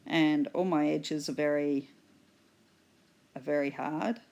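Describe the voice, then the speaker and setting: Slow, husky woman with a cold. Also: low voice, steady tone, a little mellow, dull audio. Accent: Australian. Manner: slow, patient explaining with fillers. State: hoarse from a cold. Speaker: art instructor. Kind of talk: painting tutorial voice-over.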